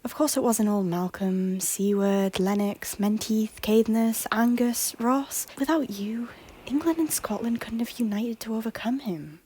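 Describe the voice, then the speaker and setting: A woman playing a hushed stranger by the harbour. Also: on the high side, unhurried, slight background noise, lightly acted. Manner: hushed, often half-whispered. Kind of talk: amateur audio drama acting.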